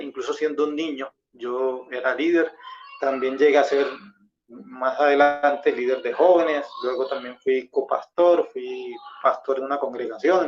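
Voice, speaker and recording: A man with a Colombian accent giving a measured, explanatory and conciliatory presentation in a debate. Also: mid-range voice. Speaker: debate participant, former pastor. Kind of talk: expository debate talk. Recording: dull lo-fi audio.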